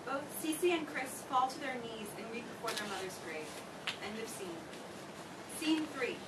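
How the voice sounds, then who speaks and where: A fairly high female voice reads scripted stage directions. It is echoey and distant, with loud noise over the recording. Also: animated, moderate pace. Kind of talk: scripted narration.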